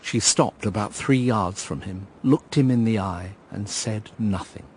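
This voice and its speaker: Radio play narrator for a male actor: wistful, reflective man, low measured voice over slightly noisy ambience. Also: darkish tone, lightly acted. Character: brooding, wistful male narrator looking back on lost love. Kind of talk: first-person radio drama narration.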